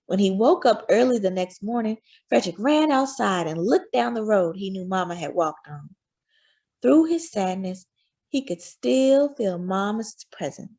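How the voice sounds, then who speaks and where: Animated, husky woman reading a story. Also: a little high. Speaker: children's story reader. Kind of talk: expressive read-aloud narration.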